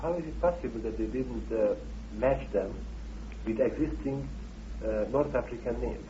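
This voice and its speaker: Male interviewer, mid-pitched and soft-edged mellow tone, dull recording with faint hum. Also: moderate pace. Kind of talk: interview questioning. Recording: muffled, noisy, humming old recording.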